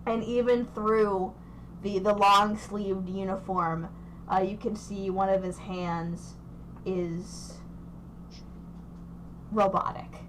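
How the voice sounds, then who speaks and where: A woman's voice: mid-range voice, steady tone, slow pace, long pauses, a little hiss or hum, low-quality recording. A young woman running a tabletop game over voice chat, describing scenes in a rambling way with many fillers. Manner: rambling, lots of fillers and restarts. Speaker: game master.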